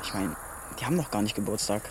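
A man's voice: lowish voice, expressive, quick pace, background noise, natural voice. A man playing a casual, teasing but caring teenage big brother in a naturalistic children's radio drama, with a blunt way of talking.

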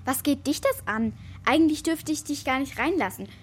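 A woman's voice: high voice, normal speed, lightly acted. A woman playing a distrustful, stubborn young girl in a children's radio play, speaking curtly. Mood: suspicious. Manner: curt, suspicious.

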